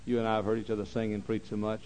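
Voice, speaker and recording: A man preaching a sermon, repeating phrases and swinging from quiet, slow talk to loud shouting. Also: fairly low pitch, completely flat delivery, slight background noise, low-quality recording.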